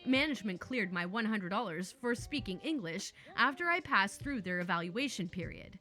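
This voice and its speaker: A woman's voice: mid-range voice, lively intonation, moderate pace, pushed and bright, faint music behind. A woman reading online stories aloud with playful, chatty asides between them. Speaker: story narrator.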